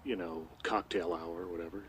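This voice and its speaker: Joking guy co-host on the radio, lowish voice, studio. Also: fairly quick, breathy edge. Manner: jokey, animated storytelling. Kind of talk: casual radio chat.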